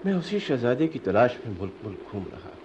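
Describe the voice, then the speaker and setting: Man playing a courteous, animated young astrologer. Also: medium pitch, brisk, dull audio, natural voice. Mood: eager, polite. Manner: formal, deferential, theatrical Urdu diction. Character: courteous, earnest astrologer and devoted foster-brother of princess. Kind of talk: radio drama acting. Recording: noisy radio play recording.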